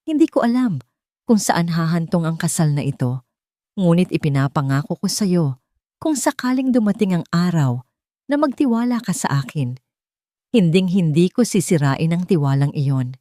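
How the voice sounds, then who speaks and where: A Filipino woman playing an earnest, devoted husband in a narrated story, speaking in a measured way. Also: lowish voice, lively intonation, dark tone, no echo, clean studio sound, close to an everyday voice. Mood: earnest, hopeful. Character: tender, earnest husband and new father, formal, devoted.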